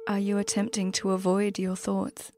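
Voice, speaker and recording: Female meditation guide, medium pitch, soothing and slow with long pauses, set over prominent soft flute music. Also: completely flat delivery.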